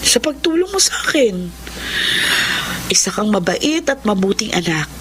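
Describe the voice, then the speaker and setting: A woman's voice: mid-range voice, very animated, measured pace, noisy background. A woman playing a wronged but forgiving mother in an earnest, emotive style of Filipino radio drama. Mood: gentle, tender. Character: wronged, forgiving, devoted mother who sells rice cakes. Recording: radio drama with music underneath.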